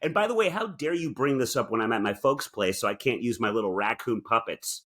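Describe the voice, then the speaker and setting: A man's voice: mid-range voice, animated, a little fast, fairly bright tone, husky. A man co-hosting a talk show with casual comedic banter and theatrical, mock-indignant asides. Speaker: podcast co-host. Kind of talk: casual comedic banter, reading aloud from articles.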